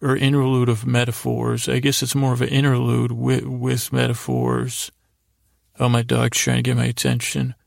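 A man hosting a bedtime podcast in a meandering, halting monologue, trailing off mid-thought with gently silly humor. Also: mid-range voice, monotone, vocal fry, dry recording, clean studio sound. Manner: silly, mildly absurd humor. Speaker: podcast host. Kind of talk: rambling bedtime storytelling monologue.

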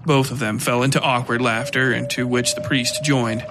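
A man's voice: mid-range voice, steady tone, normal speed. Man narrating horror fiction over watery noise. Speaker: podcast narrator.

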